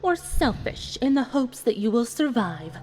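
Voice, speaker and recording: A woman voicing a calm, smug, sadistic pony villain in a dramatic story reading, speaking in a deliberate, taunting, formal way. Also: a little high, over music. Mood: cold, taunting. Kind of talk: dramatic fan fiction reading.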